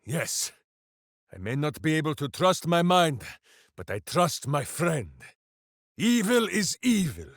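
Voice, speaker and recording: A man's voice: fairly high pitch, very animated, slow, long pauses, dry recording, clean studio sound, exaggerated voice. A man voicing a big-hearted, simple-minded heroic warrior in a video game, bombastic and earnest, speaking of himself in the third person. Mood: resolute. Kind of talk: video game character voice acting.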